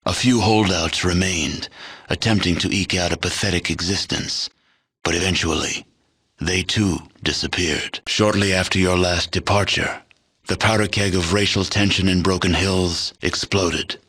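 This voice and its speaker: A man playing a grave, solemn narrator of a post-apocalyptic video game, telling the tale in measured, deliberate scripted narration. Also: low voice, fairly even intonation, a bit bright, slightly rough.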